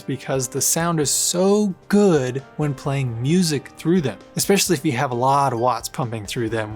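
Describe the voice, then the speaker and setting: A male reviewer narrates a scripted product review in a medium-pitched voice. His delivery is colloquial and sprinkled with playful asides. Music plays behind him. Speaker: audio gear reviewer. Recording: music bed under the voice. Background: background music.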